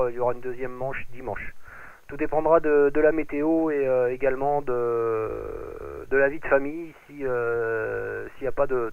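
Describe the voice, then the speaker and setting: A man's voice: mid-range voice, no room sound. A man chatting casually as an amateur radio operator, hesitant and repeating his phrases as he goes, over a radio transmission. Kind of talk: casual radio contact chat. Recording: dull, dry lo-fi radio transmission.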